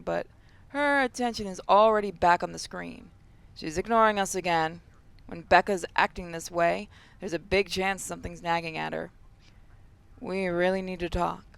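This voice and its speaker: A woman's voice: lowish voice, creaky, a bit bright, clean tone. A woman hosting a game playthrough, reading story narration aloud and adding casual commentary. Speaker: gaming video host.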